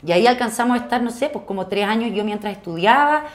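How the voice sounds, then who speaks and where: Halting, colloquial Chilean woman reminiscing. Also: a little high, expressive. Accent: Chilean Spanish. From southern Chile. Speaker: actress, interview guest. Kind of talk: casual reminiscing conversation.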